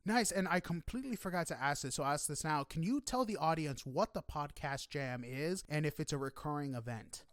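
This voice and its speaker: A man hosts a casual interview podcast in a fairly high, very clear voice. He is upbeat and chatty, encouraging as he talks. The sound is dry and close, with little room to it. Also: brisk, few pauses, fairly bright tone, dry recording.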